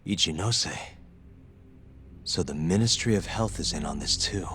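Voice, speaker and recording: An actor playing a shrewd, dogged investigator in a video game, cool and probing. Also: male voice, sits low, unhurried, a bit bright, a little hiss or hum. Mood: probing, confident. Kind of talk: English video game cutscene acting.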